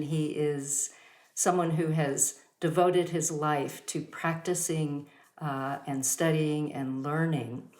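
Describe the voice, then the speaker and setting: Host: woman, very low voice, slow and halting with fillers, echoey room. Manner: warm introductions with many fillers. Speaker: host of a yoga studio talk. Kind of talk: hosting an online talk.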